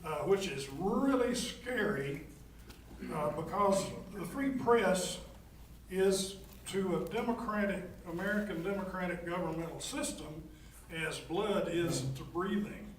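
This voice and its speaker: An older man with a light Texan accent moderates a forum, his voice a little high for a man. He gives informal opening remarks from well away from the microphone. The room echoes around him. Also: unhurried, distant mic, slight background noise. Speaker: panel moderator. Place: large echoing indoor venue, public forum.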